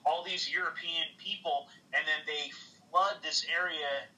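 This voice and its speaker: A man's voice: fairly high pitch, fairly even intonation, measured pace, sharp tone, distant mic, rough recording. A man in a casual livestream conversation, rambling and swearing, with lots of "like" fillers. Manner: rambling, heavy on "like" fillers, profane. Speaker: livestream guest.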